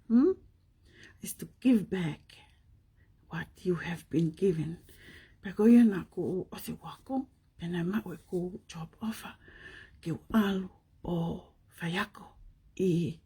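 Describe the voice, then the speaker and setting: A Tongan woman with a Tongan accent, hosting a casual live stream and chatting to viewers, often slipping into a hushed, breathy half-whisper and tagging her points with questions. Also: fairly low pitch. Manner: switches between languages, chatty, asks listeners tag questions.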